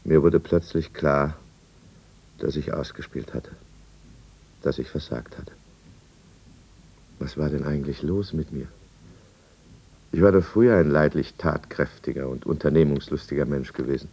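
An actor playing a weary middle-aged businessman who narrates his decline in a 1950s German radio drama, measured and reflective. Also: a bass voice, dark tone, husky, exaggerated voice. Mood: brooding, troubled.

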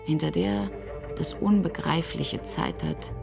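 A performer voicing a distant inner voice in a radio play, reciting slowly. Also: mid-range voice, wildly swooping pitch, creaky, exaggerated voice. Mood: pensive. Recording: distant voice over music.

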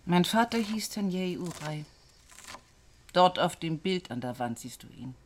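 Elderly widowed seamstress, gentle, dry, standard German, radio drama, unhurried, widely varied melody. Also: female voice, lowish voice, animated, slight background noise. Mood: calm, matter-of-fact.

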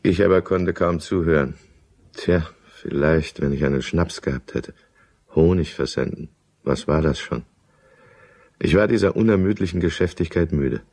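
Deep-voiced, self-pitying drinking husband. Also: male voice, normal speed, a little mellow. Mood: brooding, confessional. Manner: brooding, self-justifying. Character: alcoholic German businessman husband, self-pitying, defensive, irritable. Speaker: lead character and first-person narrator. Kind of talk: German radio drama acting and narration.

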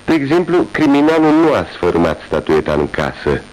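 An actor playing a sharp, calm male detective who reasons in a measured, deliberate way in a 1980s radio drama. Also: medium pitch, lightly acted, poor audio. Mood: reasoning.

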